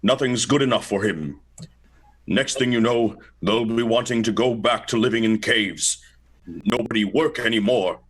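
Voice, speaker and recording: A man's voice: on the low side, moderate pace, husky. A man playing a stubborn, folksy old village grandsire with a grumbling rustic drawl in an amateur radio play. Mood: scornful, grumbling.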